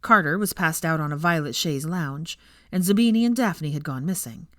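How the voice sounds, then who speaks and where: A woman reading a story aloud in an expressive scripted narration style. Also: low voice, normal speed, no echo, clean studio sound. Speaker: fan fiction narrator.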